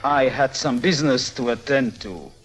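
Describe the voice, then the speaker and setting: A man's voice: mid-range voice, animated, normal speed, breathy edge. An actor playing a terse, imperious, menacing rich man in a 1950s radio drama, firing curt questions and commands. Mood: cold, demanding.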